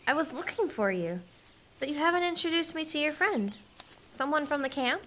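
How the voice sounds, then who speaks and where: Woman acting as helpful young friend, polite, high-pitched, crisp bright tone, family radio drama. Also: lively intonation, normal speed. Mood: friendly, curious. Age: young adult. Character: kind, eager, pretty young woman, rancher's helpful friend.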